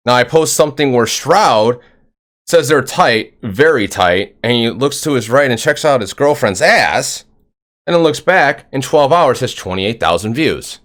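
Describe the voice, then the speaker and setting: A man's voice: medium pitch, a bit bright. A man hosting a casual interview podcast, talking in a loose, rambling way with lots of fillers. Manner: rambling, filler-heavy, upbeat. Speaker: podcast host.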